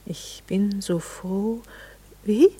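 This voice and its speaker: A married lady of good society in a radio drama. Her voice is breathy and husky, in a middle register for a woman. She is wistful and curious, probing gently and often hesitating with genteel restraint. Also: medium pitch, extremely slow. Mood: soft, wistful. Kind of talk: intimate radio play dialogue.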